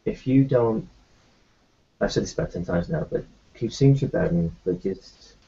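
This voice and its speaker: A man in an in-character tabletop roleplay, talking in a halting, reassuring way with many restarts. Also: mid-range voice, very animated, creaky, dark tone, lo-fi sound.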